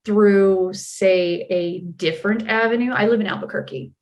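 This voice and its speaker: A woman's voice: medium pitch, moderate pace. An American woman, an actress being interviewed, telling stories casually in a chatty, self-deprecating way. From California and Oregon, United States. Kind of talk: casual storytelling chat.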